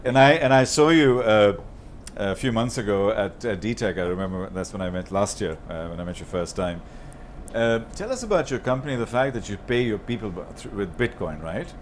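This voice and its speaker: Low-voiced male moderator, faint noise. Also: a little fast. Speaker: panel moderator. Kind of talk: casual moderating. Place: live talk event. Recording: faint background noise, live event.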